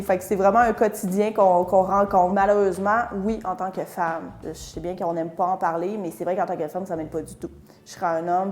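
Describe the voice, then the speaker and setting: A woman's voice: lowish voice, darkish tone. A woman feminist activist speaking plainly and earnestly about her daily life. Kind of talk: personal testimony.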